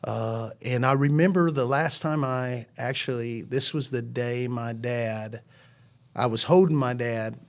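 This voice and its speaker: A man in his forties speaks as a guest on a church podcast. He talks earnestly and at length, circling back and restarting his sentences as he tells stories about his life and faith. His voice sits at an ordinary middle pitch. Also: unhurried. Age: 40s. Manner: earnest, rambling storytelling with many restarts. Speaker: ministry founder, podcast guest. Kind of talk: casual chat.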